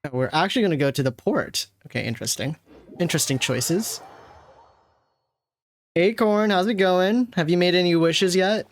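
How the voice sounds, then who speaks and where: A woman's voice: fairly low pitch, lively intonation, moderate pace, pauses often. A woman game streamer giving casual, informal live commentary, chatting to viewers and characters.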